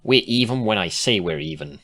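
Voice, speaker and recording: A man's voice: on the low side, animated, fairly quick, fairly bright tone. Sardonic young mare, acted by a man, put-upon, dry, exasperated, storybook reading. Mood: wry.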